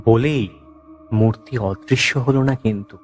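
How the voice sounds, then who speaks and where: A man narrates a horror story at a slow, measured pace. His voice is medium in pitch and dramatic with suspense. Faint music plays behind him.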